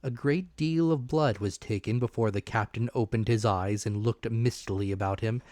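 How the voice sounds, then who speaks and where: A man's voice: fairly low pitch, moderate pace, a little mellow, no room sound. A man giving a dramatic narrated reading of a classic adventure novel. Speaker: audiobook narrator.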